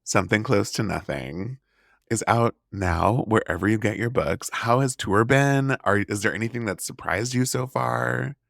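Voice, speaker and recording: A man's voice: lowish voice, normal speed, vocal fry, fairly bright tone, no room sound, studio quality. A man hosting a conversational interview podcast in an encouraging, affirming manner. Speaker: podcast host.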